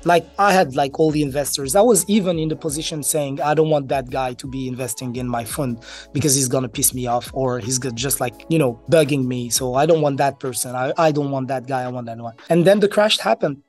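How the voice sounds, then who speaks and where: A man's voice: medium pitch, fairly quick, a little mellow, soft background music. A man from Morocco, speaking as an interview guest, casually telling stories and acting out bits of dialogue. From Marrakesh, Morocco. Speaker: interview guest, crypto entrepreneur. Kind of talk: casual conversational storytelling.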